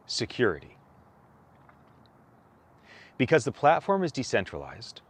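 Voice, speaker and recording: Male narrator for a read-aloud formal paper, mid-range voice, very varied intonation, deliberate enunciation. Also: medium pitch.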